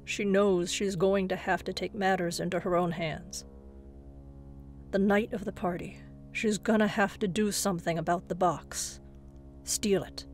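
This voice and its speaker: A woman's voice: medium pitch, darkish tone. A woman narrator reading a suspenseful scripted horror story.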